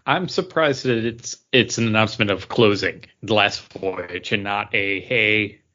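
Guy chatting on a podcast, kinda low but bright voice, repeats himself, muffled lo-fi audio. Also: measured pace. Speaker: podcast co-host. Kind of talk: casual chat about theme park attractions.

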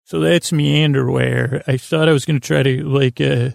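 Creaky, gravelly man rambling softly along. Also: mid-range voice, fairly even intonation, dry recording, studio quality. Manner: meandering, halting, self-interrupting. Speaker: podcast host. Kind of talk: rambling sleep podcast monologue.

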